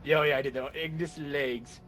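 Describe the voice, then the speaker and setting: A man playing an online game with friends, calling out spells and breaking into loud laughs. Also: fairly high pitch, fairly even intonation, fairly quick, over music. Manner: loud, laughing outbursts, casting spells aloud. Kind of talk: casual gaming chat.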